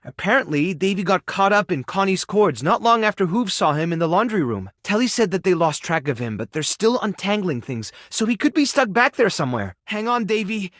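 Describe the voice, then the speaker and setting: Male game character: caring, anxious animal rescuer, friendly and coaxing, higher-set voice with lively swings. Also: a little fast, hardly any pauses, no room sound, studio quality. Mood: earnest, hopeful. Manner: expressive, soft-hearted, encouraging, coaxing small creatures like pets. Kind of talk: video game character dialogue lines. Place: studio.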